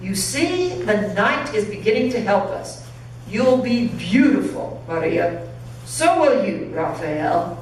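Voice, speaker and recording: A woman's voice: medium pitch, big pitch swings, takes it slowly, slight background noise. A woman storyteller voicing a proud, anxious Mexican man who earnestly leads and urges his ox. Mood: forced cheer. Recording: very echoey church, distant mic.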